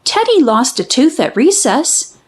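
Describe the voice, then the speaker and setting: A woman's voice: sits high, very animated, measured pace, a little mellow, voice pushed up. A woman voicing an earnest, literal-minded young schoolgirl in a storybook, exclaiming innocently. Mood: matter-of-fact.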